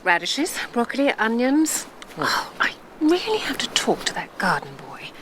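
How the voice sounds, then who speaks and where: Fussy middle-aged mother, chatty, highish lively voice, radio drama, slight background noise. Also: moderate pace.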